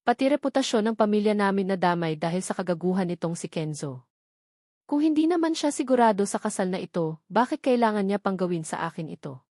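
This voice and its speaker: A woman's voice: medium pitch, expressive, fast talker, darkish tone, no echo, studio quality. A woman with a Filipino accent reading a dramatic first-person novel as an audiobook narrator.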